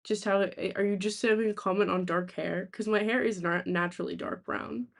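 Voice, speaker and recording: A young woman with a raspy, sore-throated voice, chatting casually to live stream viewers in a halting, rambling way. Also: mid-range voice, steady tone. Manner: halting, rambling, thinking aloud. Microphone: laptop, without usual microphone.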